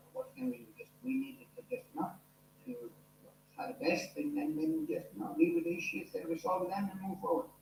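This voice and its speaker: A man's voice: high voice, a little mellow, reverberant, slight background noise, poor audio. A man with an Italian accent, a university lecturer speaking to his online class in an earnest way, with many fillers and restarts. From Italy.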